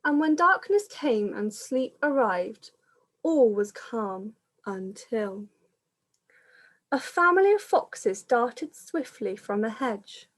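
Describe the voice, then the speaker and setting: A woman, a primary school teacher, reading a picture book aloud to young children in a measured, explanatory manner. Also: on the high side, very animated.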